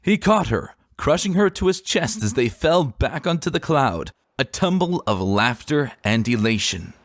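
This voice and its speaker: A man narrating a story as a dramatic reading, expressive and deliberate in his delivery. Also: medium pitch, dry recording, clean studio sound.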